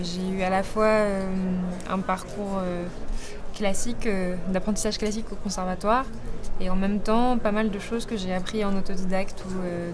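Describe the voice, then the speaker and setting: A young woman from Brittany, a musician, talking in an interview with frequent hesitations and restarts. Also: mid-range voice. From Rennes, Brittany, France. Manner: hesitant, many fillers and restarts. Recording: clear music behind voice.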